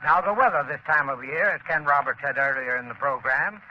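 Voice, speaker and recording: A man's voice: on the high side, fairly quick. A man reading a scripted radio advertisement as sponsor spokesman in a friendly, advisory manner. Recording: dull old radio recording with hiss.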